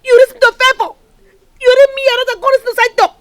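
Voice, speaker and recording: An actress playing a headstrong young woman who is quick to pick a fight. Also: no echo. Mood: angry. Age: young adult. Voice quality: high, animated Nigerian Pidgin-tinged speech.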